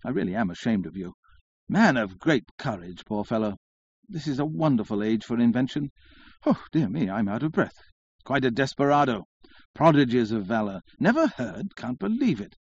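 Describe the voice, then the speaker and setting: A man's voice: mid-range voice, very animated, normal speed, husky, dry recording, lo-fi sound. A man voicing a graceful, coquettish young society lady who chatters in a flighty, scolding, exclamatory way. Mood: flighty, chatty.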